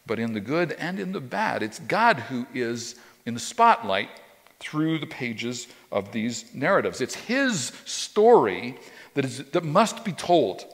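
A man's voice: medium pitch, sing-song, takes it slowly, fairly bright tone. A man in his fifties preaching a sermon as pastor, talking in a loose conversational way with frequent restarts and repeated words. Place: church stage with a drum kit behind, indoors. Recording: long reverb of a church hall.

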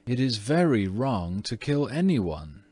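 A man's voice: mid-range voice, sing-song, unhurried, a little mellow, husky, no echo. A man voicing a prim, spirited young American girl in a storybook, earnest and scolding. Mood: stern. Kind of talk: storybook character reading.

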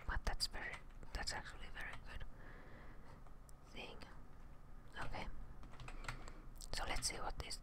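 A young woman whispering ASMR gameplay commentary in a breathy, unvoiced hush, murmuring her thoughts in short fragments close to the microphone. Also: unhurried, long pauses. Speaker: gaming streamer. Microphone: close studio microphone with headset headphones, indoors.

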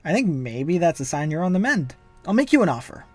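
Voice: medium pitch, big pitch swings, fairly quick, dry recording. A performer voicing a wisecracking, manic masked antihero in a comic-book dub, flippant and theatrical but caring underneath. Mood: flippant, joking. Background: background music.

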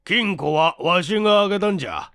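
A man's voice: high voice, lively intonation, moderate pace, bright, forceful tone, no echo, clean studio sound, exaggerated voice. A man voicing an irascible, domineering old male scientist in a barking, imperious manner, for a story reading. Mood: stern.

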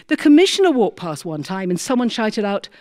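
A middle-aged British woman telling a story live, with dramatic, deliberate delivery. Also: medium pitch, sing-song, darkish tone. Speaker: storyteller, former prison chaplain. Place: recording studio with small audience.

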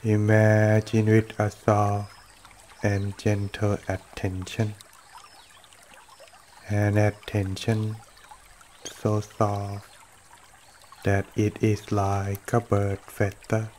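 Very slow, monotone man guiding meditation. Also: fairly low pitch, slight background noise. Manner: gentle, unhurried, short phrases with long pauses. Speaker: meditation teacher. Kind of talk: guided meditation. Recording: running water throughout. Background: trickling stream water.

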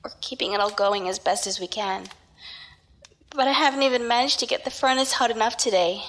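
A woman's voice: fairly high pitch, brisk, a bit bright, poor audio. A woman acting as an earnest, worried young daughter in an audio drama. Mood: worried confiding. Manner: earnest, sighing.

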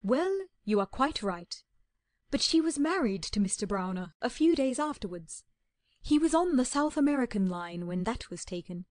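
A woman reading the part of a weary older spinster lady in an audiobook, speaking slowly and plaintively. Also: on the high side, darkish tone, dry recording, clean studio sound, natural voice. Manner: plaintive, slow and heartfelt.

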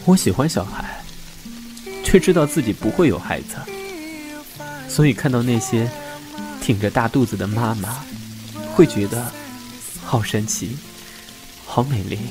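Casting: male actor as emotional, bitter young man, mid pitch, audio drama with music underneath. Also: lively intonation, a little slow, long pauses, close to an everyday voice. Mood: melancholy. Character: hurt, bitter young man, betrayed in love.

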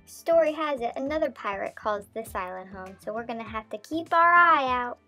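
A woman's voice: on the high side, animated, moderate pace, pushed and bright. A woman voicing a cheerful young dog who narrates her life on a sailboat in a bubbly, punny way, with pirate talk. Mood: playful. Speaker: vlog narrator. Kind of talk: scripted playful narration. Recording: music playing under the voice. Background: music.

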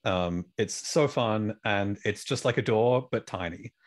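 A man's voice: fairly low pitch, unhurried. A young man chatting casually as a panel guest, recorded on a close podcast mic in a room. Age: young adult. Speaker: panel guest, sound effects performer. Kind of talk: casual chat. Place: indoor room, video call. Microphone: close boom-arm podcast mic.

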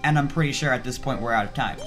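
A man's voice: medium pitch, very fast, a bit bright, soft background music. A man chatting casually as a gaming co-host, insistent and argumentative with his friends. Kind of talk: casual game race banter.

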